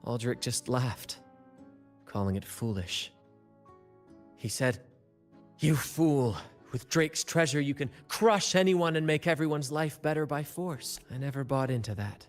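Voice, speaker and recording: A man playing an earnest, thoughtful adventurer in a video game, speaking sincerely and in measured phrases. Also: medium pitch, close to an everyday voice. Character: earnest, thoughtful male adventurer and ship captain. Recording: faint music behind the voice.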